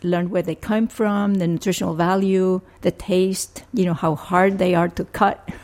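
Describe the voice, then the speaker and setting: An older woman from Rico, a family physician answering interview questions in a measured, thoughtful way. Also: fairly low pitch, level tone, darkish tone. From Puerto Rico. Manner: measured, thoughtful, with frequent restarts.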